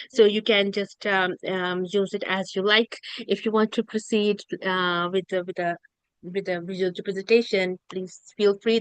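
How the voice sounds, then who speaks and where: A woman's voice: mid-range voice, smooth, no echo, low-quality recording. A woman hosting a webinar over a video call, politely introducing a guest speaker, hesitant with many "um"s and repeated words. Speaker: webinar host.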